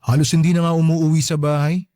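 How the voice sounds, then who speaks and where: A man's voice: a little high, flat delivery, fast, dark tone, no echo, clean studio sound, natural voice. A young man playing a resentful, neglected grown son in a radio drama, plaintive and reproachful but respectful. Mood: resigned, exasperated. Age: young adult. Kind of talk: emotional radio drama acting.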